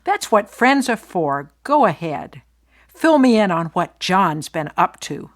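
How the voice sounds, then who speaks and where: A woman playing a concerned, blunt best friend in soap-opera dialogue for English learners, speaking deliberately and clearly. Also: on the low side, very animated.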